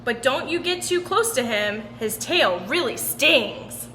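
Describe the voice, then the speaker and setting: A woman reading a picture book aloud for children in an animated, sing-song storytelling manner. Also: high voice, slow. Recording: roomy long reverb with background noise.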